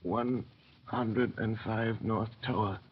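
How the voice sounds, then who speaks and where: A muffled vintage radio broadcast carries a broken old prisoner. His voice is husky and slow, halting and repetitive, bewildered and full of anguish. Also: on the low side, steady tone. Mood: dazed, feeble. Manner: halting, dazed, repeating himself. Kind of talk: mid-century radio drama acting.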